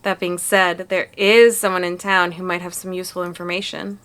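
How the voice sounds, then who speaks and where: A woman's voice: lowish voice, little pitch movement, normal speed, fairly bright tone, smooth, natural voice. A woman playing a plucky young amateur monster hunter, informal and matter-of-fact, in a fan-dubbed visual novel. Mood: resolved.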